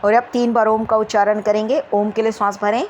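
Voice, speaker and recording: A woman leading a guided meditation, giving gentle instructions with repeated phrases and long pauses. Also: medium pitch, little pitch movement, highs cut off, slight background noise.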